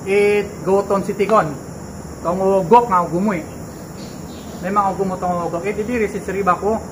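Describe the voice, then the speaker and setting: A man's voice: high voice, steady tone, a little slow. A young Malaysian man with a Malaysian accent, vlogging and talking casually to his viewers in a chatty, reassuring way, recorded outdoors at night on a handheld camera mic. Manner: chatty and reassuring, mixes in Kadazan Dusun phrases. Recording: noisy outdoor night ambience with insects.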